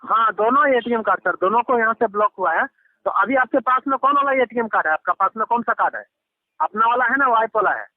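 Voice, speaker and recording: Male phone agent, fast and persistent, formal and soothing patter, dry narrow phone-line audio. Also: very high voice, animated, no echo. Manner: insistent, repetitive, reassuring, addressing the listener formally. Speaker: phone caller posing as bank support. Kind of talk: persuasive phone call. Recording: lo-fi telephone line recording. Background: phone call audio.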